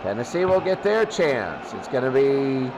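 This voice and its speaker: A man's voice: medium pitch, very animated, unhurried, muffled recording, noisy background. A man doing football play-by-play as the announcer, often repeating his phrases. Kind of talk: amateur football play-by-play commentary.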